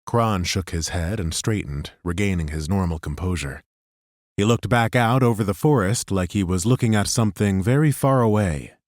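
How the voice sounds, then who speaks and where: A man narrating a fantasy audiobook in a steady, even storytelling manner. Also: deep voice, moderate pace, dry recording, clean studio sound.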